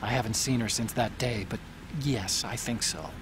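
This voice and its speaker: An actor playing an earnest, troubled scientist ally, hesitant yet explanatory, in a video game cutscene. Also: male voice, fairly low pitch, normal speed, a little hiss or hum, natural voice, poor audio. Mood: guilty, sorrowful.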